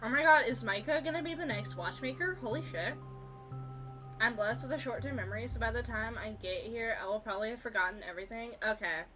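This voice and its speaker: A woman's voice: on the high side, fast. A woman livestreamer chatting casually and informally with viewers during a gaming stream. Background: game music.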